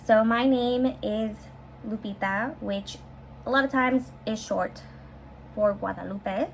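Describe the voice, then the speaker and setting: A woman's voice: a little high, takes it slowly, creaky, rough recording. A woman in her twenties from San Francisco, chatting upbeat and casually as she answers viewer questions in her own vlog, with some fillers and restarts. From San Francisco, USA. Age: 20s. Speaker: vlogger. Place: her bedroom, heater running. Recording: faint heater hum in room.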